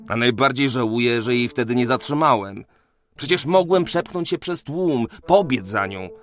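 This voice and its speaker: A man's voice: mid-range voice, moderate pace, faint music behind, natural voice. A man playing a lovesick, distracted young geometer in a radio play, speaking in a hesitant, earnest, wistful way. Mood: wistful, yearning. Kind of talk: Polish radio play acting.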